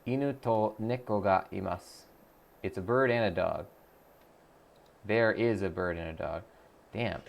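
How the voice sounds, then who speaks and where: A man with a low voice and a gravelly, crackly edge talks through a live stream in a loose, rambling way. He thinks out loud, trails off and restarts sentences. He reads viewer comments aloud and answers them as he goes. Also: measured pace, long pauses. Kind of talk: casual live-stream chat while practicing a language app.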